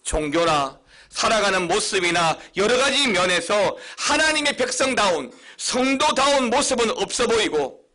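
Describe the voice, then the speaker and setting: Middle-aged man, Busan accent, pastor in the pulpit; high, bright voice that swings widely on emphasis. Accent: Busan Gyeongsang. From Busan, South Korea. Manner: emphatic preaching with sudden high, loud stresses. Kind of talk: sermon.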